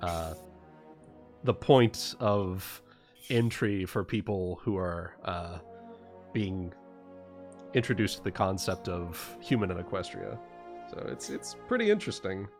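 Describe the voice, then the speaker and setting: A man chatting casually as a livestream host, explaining things in a halting, stop-and-start way. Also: deep voice, big pitch swings, soft background music. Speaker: livestream host and game master.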